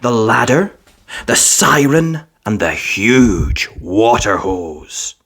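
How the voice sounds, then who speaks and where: A woman narrating a children's storybook in an expressive, deliberate read-aloud style. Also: rumbling low, slightly rough.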